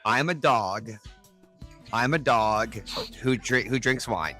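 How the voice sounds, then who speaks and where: Bright-voiced, joking male podcast host. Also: mid-range voice, slow, soft background music. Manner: effusive, joking. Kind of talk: casual playful interview banter.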